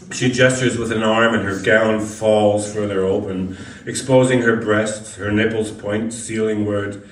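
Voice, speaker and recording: A man reading a first-person short story aloud as scripted narration, now and then stumbling over a word. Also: on the low side. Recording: echoey room, distant mic, background noise.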